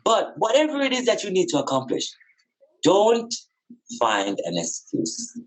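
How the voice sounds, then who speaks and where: Slow-paced, higher-pitched man speaking emphatically. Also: huge pitch swings, poor audio. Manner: emphatic, repeats phrases for emphasis. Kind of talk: unscripted spiritual podcast conversation.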